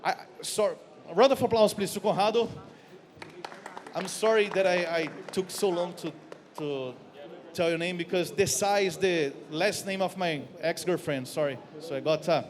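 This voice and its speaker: A man's voice: sits high, moderate pace, a crowd in the background. A man with a Brazilian accent, casually and playfully moderating a panel on stage as its host. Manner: playful, jokey. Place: stage at a conference. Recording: long hall reverb.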